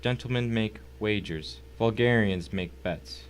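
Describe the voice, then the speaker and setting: Guy doing a snobby rich bad guy for an audio drama, lowish voice, formal and sneering. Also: a little slow, slight background noise, natural voice. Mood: coolly persuasive. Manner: pompous, formal, mocking. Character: smug, wealthy, cultured villainous husband, fond of wagering. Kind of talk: dramatic audio story acting.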